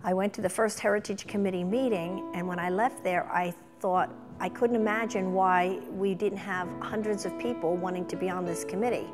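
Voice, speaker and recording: A woman's voice: medium pitch, big-room sound. A middle-aged woman speaking in a documentary interview as a museum chairperson, recorded indoors. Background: instrumental music.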